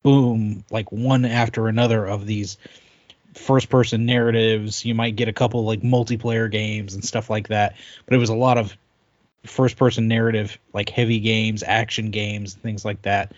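A man's voice: fairly low pitch, level tone, moderate pace, no room sound. Casual male co-host, slightly muffled dry sound. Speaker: podcast co-host. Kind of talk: casual gaming chat.

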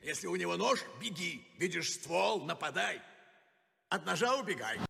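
An actor playing a gruff man who hands out blunt advice in clipped, emphatic phrases with a creaky voice. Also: high-pitched, expressive, normal speed, pushed and bright, big-room sound, full character voice. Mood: blunt, commanding.

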